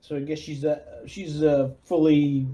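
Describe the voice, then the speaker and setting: A man's voice: on the high side, level tone, measured pace, warm tone. A man chatting casually as the game master of a tabletop roleplaying game.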